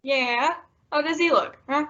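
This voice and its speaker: A woman playing a loyal, wisecracking male cab driver in old-time radio style, excitable and folksy. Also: high voice, measured pace. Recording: dull lo-fi audio.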